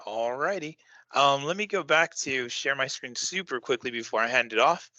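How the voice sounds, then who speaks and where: A man's voice: mid-range voice, expressive, normal speed, sharp tone, no room sound. A man hosting an online teacher training session on a video call, upbeat and encouraging as he introduces presenters.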